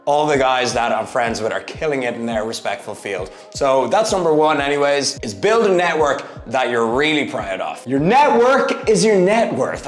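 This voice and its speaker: A man of thirty, giving an emphatic motivational vlog talk, swinging from loud bursts to low asides. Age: 30s. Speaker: fitness YouTuber. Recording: echoey room with faint music. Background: faint background music.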